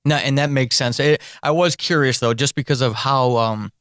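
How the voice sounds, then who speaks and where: A man's voice: mid-range voice, normal speed, fairly bright tone, no echo, clean studio sound. A man hosting an interview podcast in a casual, informal way, breaking into his own sentences with asides.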